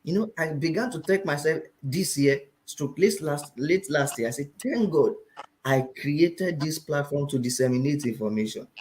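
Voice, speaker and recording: Nigerian man, interview guest, finance teacher, fairly high voice, animated and repetitive. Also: normal speed, slight background noise. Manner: animated, repeats phrases for emphasis. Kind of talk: interview talk.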